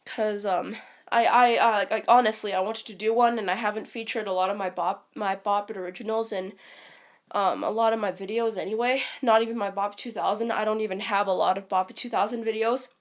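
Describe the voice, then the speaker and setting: A woman's voice: mid-range voice, steady tone, fairly quick. A woman with a stuffy, congested voice from a cold, talking casually on a live stream and rambling with frequent ums and restarts.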